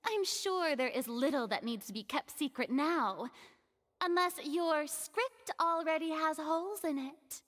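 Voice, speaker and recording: Theatrical young female deity, extremely high voice, slow grand delivery, pompous courtroom showmanship, video game character. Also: lively intonation, a bit bright. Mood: smug, confident. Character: theatrical, haughty young female hydro archon presiding over a trial. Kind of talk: dramatic video game voice acting.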